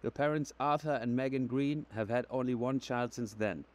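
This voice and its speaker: An actor playing a calm, matter-of-fact male police officer asking probing questions in a video game. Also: medium pitch, normal speed, lightly acted.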